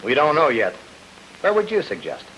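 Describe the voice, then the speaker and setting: An actor playing a cool, wry bank robber with slangy, sardonic talk in an old-time radio crime drama. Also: male voice, on the high side, wildly swooping pitch, moderate pace, a bit bright. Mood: wry, casual. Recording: hissy, noisy vintage radio recording.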